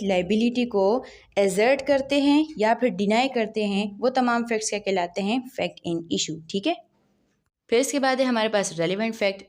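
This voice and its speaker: A woman giving a law lecture, reading legal clauses slowly and then explaining them quickly, often asking questions and answering them herself. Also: medium pitch. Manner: reads legal text slowly, then explains in quick mixed Urdu-English.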